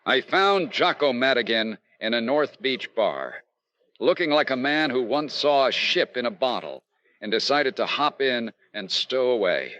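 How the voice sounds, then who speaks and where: A man plays a hard-boiled, earnest investigator in a vintage radio detective serial. His mid-pitched voice moves with lively inflection and a no-nonsense urgency. The sound is slightly dull and lo-fi. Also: measured pace, no echo. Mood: determined. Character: earnest, dogged male insurance investigator in a noir detective serial. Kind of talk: old-time radio detective acting and narration.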